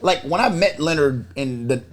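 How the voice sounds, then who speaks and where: A middle-aged man swapping stories on a casual podcast, talking in slang with heavy swearing and animated delivery. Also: on the high side, normal speed. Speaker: podcast host.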